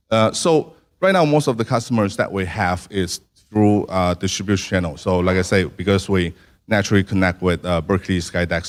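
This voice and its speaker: A man, a startup founder, answering audience questions in a halting way, often stopping to search for words. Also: low voice. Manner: halting, many fillers and restarts.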